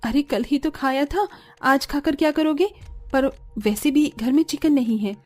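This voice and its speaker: A woman playing a young, dutiful but indignant bride in a cartoon, expressive and plaintive. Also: sits high, moderate pace, soft background music, lightly acted. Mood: reluctant.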